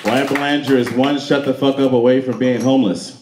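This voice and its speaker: An American man doing a profane stand-up roast on a club stage, brash and quick to snap back at hecklers. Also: medium pitch. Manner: brash, animated, swearing, talking back to hecklers. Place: club stage before a live audience. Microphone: handheld stage mic through PA, filmed from the crowd. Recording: roomy club PA with audience noise.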